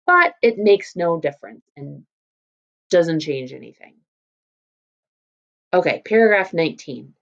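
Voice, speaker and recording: A woman's voice: on the low side, animated, measured pace, creaky, long pauses, a bit bright, muffled recording. A woman teacher reading a story aloud and explaining it in an informal way. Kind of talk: read-aloud lesson with casual explanatory commentary.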